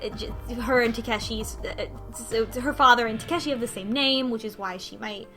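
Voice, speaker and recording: A woman talking casually in a group discussion, recapping a game in a halting way and often restarting mid-thought. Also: a little high, fairly bright tone, faint music behind. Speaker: podcast host recapping a visual novel.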